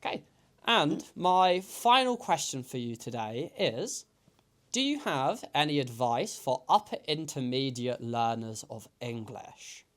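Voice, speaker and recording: A man hosting an interview with a smooth, resonant voice and a middle pitch. He speaks in a measured, articulate way, laying out his points in full sentences. He sounds like a teacher explaining things to learners. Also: animated, a bit bright. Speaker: host, English teacher.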